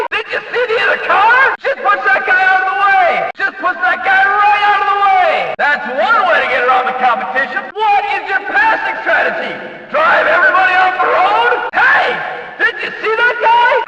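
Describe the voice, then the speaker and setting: A man playing an excitable, folksy race announcer in a video game, commentating emphatically in a racing style. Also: very high-pitched, heavily acted. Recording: echoey hall, distant from the mic.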